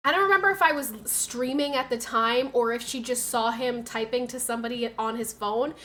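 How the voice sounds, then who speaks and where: A woman's voice: fairly high pitch, fairly quick, bright, forceful tone. A young woman streamer chatting casually, her voice nasal and stuffy from a cold, rambling through stories with lots of fillers. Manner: rambling, filler-heavy storytelling. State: has a cold, stuffy sinuses. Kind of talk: casual livestream chat.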